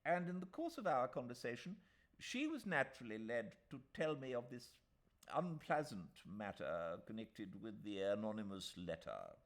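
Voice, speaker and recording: A man voicing a fussy, self-assured old English gentleman solicitor in an audiobook, speaking formally and emphatically with pompous repetitions. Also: lowish voice, voice pushed up. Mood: brisk, self-assured. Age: older. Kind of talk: audiobook character voice.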